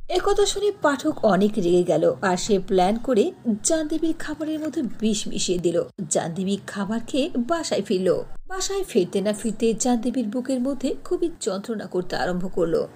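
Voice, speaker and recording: Expressive woman narrating a cartoon. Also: fairly high pitch, moderate pace, a little hiss or hum. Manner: expressive, storytelling.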